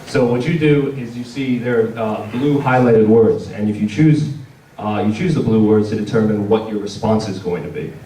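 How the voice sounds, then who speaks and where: A man giving an informal convention panel presentation in a hesitant, halting way. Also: fairly low pitch, mellow. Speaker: panel presenter for a game publisher. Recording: distant mic in echoey, noisy room.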